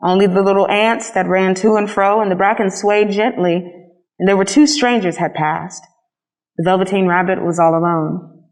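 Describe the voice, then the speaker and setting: A woman reads a children's storybook with a medium-pitched voice. Her delivery is scripted narration, told expressively as a storyteller would. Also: fairly even intonation, normal speed, darkish tone, rough recording. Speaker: storybook reader.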